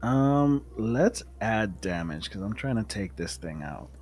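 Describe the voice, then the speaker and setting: A man's voice: fairly low pitch, big pitch swings, unhurried, a little mellow, husky. A man chatting casually as a player in a tabletop game, tentatively checking how things work. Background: music.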